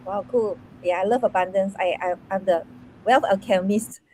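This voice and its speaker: A woman from Singapore hosting an online panel over a video call, bubbly and quick to laugh. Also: medium pitch, warm tone, a little hiss or hum, rough recording. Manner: bubbly, laughs between words. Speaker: panel host.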